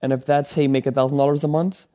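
A man's voice: on the high side, little pitch movement, fast talker, warm tone. A man in his thirties from Venezuela, hosting a solo podcast and giving earnest advice in a rambling way, full of ums and restarts. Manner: rambling, filler-heavy, earnest coaching. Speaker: podcast host. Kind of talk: solo motivational podcast talk.

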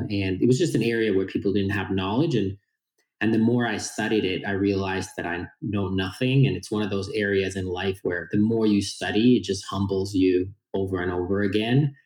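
A man's voice: fairly low pitch, darkish tone, echoey room, poor audio. A man in his forties with a Finnish accent, a guest explaining his background earnestly with frequent fillers. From Finland. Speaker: guest, company founder. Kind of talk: casual interview talk.

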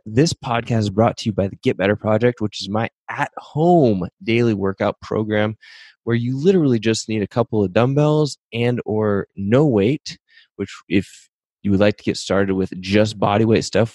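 A man in his thirties from the Pacific Northwest, hosting his own fitness podcast in an upbeat, energetic way while hoarse and losing his voice. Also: lowish voice, dry recording. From Pacific Northwest, USA. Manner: upbeat, energetic, pep-talk delivery. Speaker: podcast host and fitness coach. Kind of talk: casual solo podcast talk with sponsor reads.

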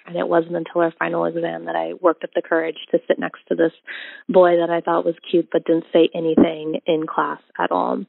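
Reflective woman with a gravelly, tinny voice. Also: fairly low pitch, completely flat delivery, no room sound. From Indonesia. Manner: reflective, with frequent ums and pauses. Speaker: storyteller sharing her love story. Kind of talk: casual personal storytelling. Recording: tinny phone-like audio.

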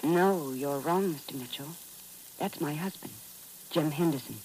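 An actress playing an earnest, insistent deserted American wife, melodramatic in the manner of 1950s radio drama. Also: female voice, low voice, measured pace. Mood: firm. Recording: old radio recording with faint hiss.

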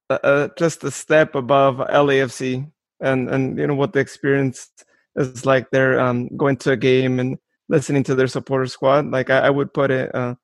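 Man, creaky voice, dry close sound, casual sports talk, interview host. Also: mid-range voice, dry recording.

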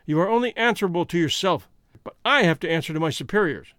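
Man playing an anxious, high-pitched police inspector. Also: animated, quick pace, fairly bright tone, no room sound, clean studio sound. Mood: worried. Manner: formal, fretful, questioning.

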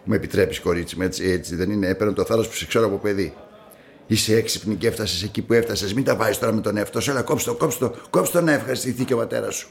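A man's voice: lowish voice, gravelly. An actor playing an older, fatherly coffee-shop man in a radio drama, chatty but evasive, with hesitant, repetitive, familiar speech. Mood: fatherly, melancholy. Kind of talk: naturalistic radio drama dialogue.

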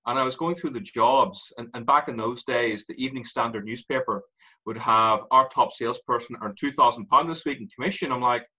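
A man in his forties with an Irish accent, a guest telling his story in an animated way and laughing through it. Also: medium pitch, brisk, slightly rough, lo-fi sound. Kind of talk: candid interview storytelling.

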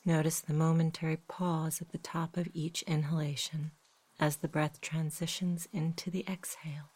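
A woman guiding a sleep meditation in a soft, whispery, husky voice, gentle and unhurried. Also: low voice, level tone. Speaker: meditation guide. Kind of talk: guided sleep meditation, slow soothing narration.

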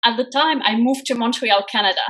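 A woman's voice: fairly high pitch, brisk, fairly bright tone. French-accented woman telling her story. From France. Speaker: guest, career coach. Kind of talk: live interview, personal story.

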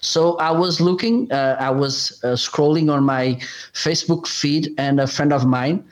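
A man's voice: fairly high pitch, measured pace. A man, an English speaker, telling his story as a podcast guest, hesitant, with frequent fillers and repeats. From Portugal. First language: Portuguese. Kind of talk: interview storytelling.

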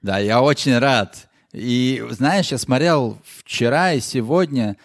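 A man's voice: medium pitch, takes it slowly. A young man co-hosting a casual podcast, talking in a jokey, repetitive way. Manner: jokey, repeats himself, mimics sounds and quotes. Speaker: podcast co-host.

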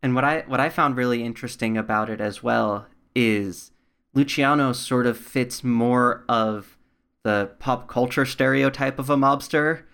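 A man's voice: mid-range voice, a little slow, vocal fry, fairly bright tone, smooth. Man interviewing in a conversational tone. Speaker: podcast interviewer.

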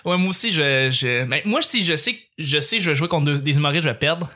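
A man from Montréal with a Quebec French accent, hosting a casual group chat and welcoming his guests with effusive warmth and frequent laughter. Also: fairly high pitch, brisk. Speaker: podcast host.

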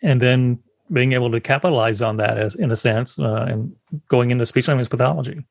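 A man's voice: medium pitch, a little fast, creaky, no echo. A man hosting an interview, friendly and affirming, often prompting with short murmured agreement. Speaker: interviewer, host. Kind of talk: casual conversational interview.

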